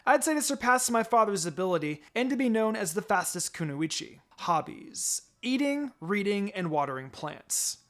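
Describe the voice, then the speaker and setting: A man voicing a calm, blunt, determined young ninja girl in a fan fiction reading. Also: high-pitched, normal speed, hardly any pauses, pitched above the performer's own voice, heavily acted. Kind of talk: fan fiction character dialogue. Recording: music behind the voice.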